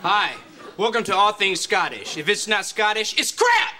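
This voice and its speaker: A man's voice: high-pitched, lively intonation, normal speed, noisy background. A man playing a laid-back, cheeky young shop assistant in a comedy sketch, slangy and offhand. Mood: perky.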